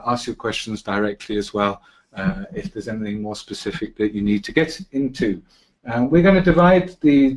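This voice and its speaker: A middle-aged British man from Britain hosting a travel webinar from an office, friendly and chatty, with frequent "uh" fillers. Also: medium pitch. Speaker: webinar host. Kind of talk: live travel webinar presentation. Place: office in Quito.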